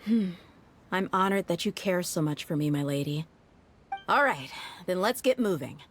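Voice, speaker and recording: Game cast: woman playing a low-pitched, blunt, easygoing young female mercenary. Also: expressive, normal speed, slight background noise, natural voice. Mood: steady. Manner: easygoing, blunt, matter-of-fact. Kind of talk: game voice acting, story dialogue.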